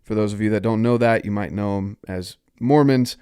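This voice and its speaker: A man's voice: lowish voice, moderate pace, darkish tone, smooth, no echo, studio quality. A man from North Carolina hosting a solo podcast, talking upbeat and encouraging while stuffed up from a cold. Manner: upbeat, encouraging, invites listeners to join. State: stuffed-up nose. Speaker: podcast host.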